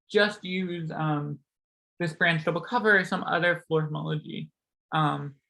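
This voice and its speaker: Bright-voiced young man with a sore voice. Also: a little high, vocal fry, low-quality recording. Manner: casual, many ums and likes, self-correcting. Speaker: seminar speaker. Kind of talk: academic math talk.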